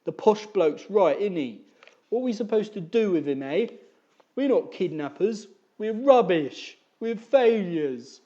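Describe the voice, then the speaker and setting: A reader voicing a large, lumpy grown son who wails and whines in a loud, raised voice. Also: mid-range voice, animated, unhurried, dull audio, pitched above the performer's own voice, close to an everyday voice. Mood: dejected wailing. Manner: wailing, whiny.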